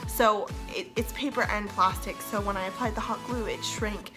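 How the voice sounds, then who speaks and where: A woman's voice: mid-range voice, fairly even intonation, normal speed, music in the background. A young woman with a congested voice from a cold, casually narrating a DIY tutorial as the host of her channel. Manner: chatty, self-deprecating, laughs between phrases.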